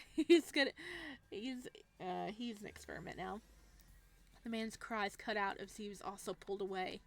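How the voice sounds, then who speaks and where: A woman hosting a game read-through, switching between narrating a visual novel and casual, excitable, giggly commentary. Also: mid-range voice, normal speed, gravelly. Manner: excitable, giggly, reacts to the story. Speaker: gaming channel host.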